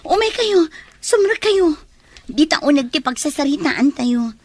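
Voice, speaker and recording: A young woman with an Ilocano Filipino accent and a husky voice playing an apologetic, hospitable friend in an audio drama. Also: sits high, expressive, unhurried, no room sound. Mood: apologetic, warm. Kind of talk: audio drama acting in dialogue.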